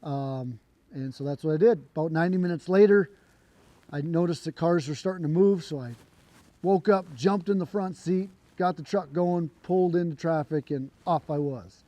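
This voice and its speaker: A man tells a story to camera in a relaxed, rambling way, full of fillers and restarts. His voice is hoarse from a cold and sits a bit high, yet it stays mellow. The recording is close and dry. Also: moderate pace, dry recording. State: hoarse, voice not recovered after a cold. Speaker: trick shooter and channel host. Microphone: camera mic.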